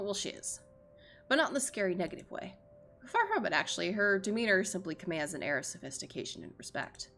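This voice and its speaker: A woman's voice: fairly low pitch, gravelly, a bit bright. A woman streamer reading visual novel narration aloud and adding chatty, self-deprecating reactions, with game music behind her.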